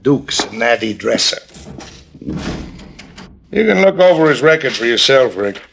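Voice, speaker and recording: An actor playing an easygoing police lieutenant in a 1950s radio drama, talking in an unhurried, halting way. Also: male voice, medium pitch, gravelly, slight background noise, full character voice. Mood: matter-of-fact. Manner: relaxed, drawling, hesitant. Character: male police lieutenant, easygoing old friend.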